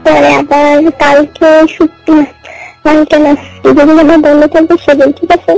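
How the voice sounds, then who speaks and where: A woman's voice: sits high, little pitch movement, moderate pace, gravelly, darkish tone. A young woman with a Bangladeshi Bengali accent chatting casually and intimately on a recorded phone call. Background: music.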